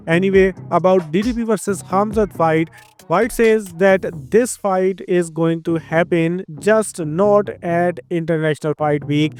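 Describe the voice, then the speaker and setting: A man with an Indian accent hosting a casual fight news roundup, with conversational asides. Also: on the high side. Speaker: host.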